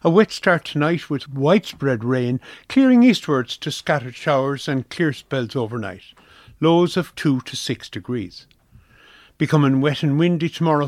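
An Irish man reads a scripted weather forecast for community radio. His voice sits at an average male pitch. The sound is close and dry, without room reflections. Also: no room sound.

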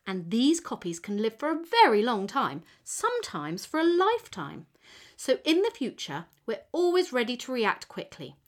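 A woman playing a friendly, proud T cell gardener who explains things patiently in a children's educational audio story. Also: fairly high pitch. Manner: explanatory, teacherly, encouraging.

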